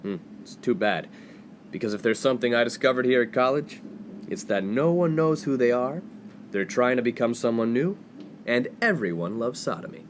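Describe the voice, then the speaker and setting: Man playing swaggering, animated 1950s college cad. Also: medium pitch, moderate pace, slight background noise, natural voice. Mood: knowing. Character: brash, smug male college freshman, privileged 1950s cad. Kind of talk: comedic 1950s radio play acting.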